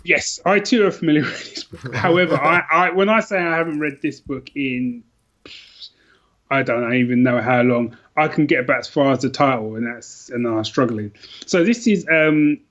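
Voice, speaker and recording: Male podcast guest, mid-to-low pitch, informal book talk, slightly lo-fi sound. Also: moderate pace, fairly bright tone. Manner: informal and colloquial. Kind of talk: casual book chat.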